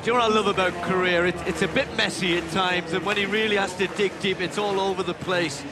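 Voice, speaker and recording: A man giving live play-by-play athletics commentary, building to shouts as the race unfolds. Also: sits high, fairly even intonation, fast. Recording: broadcast commentary over loud stadium noise.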